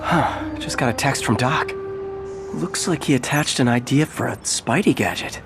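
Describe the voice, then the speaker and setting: A man's voice: mid-range voice, moderate pace, vocal fry, over music, lightly acted. A man playing an eager, awkward, good-hearted young superhero in a video game, with a casual, earnest, boyish delivery. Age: young adult.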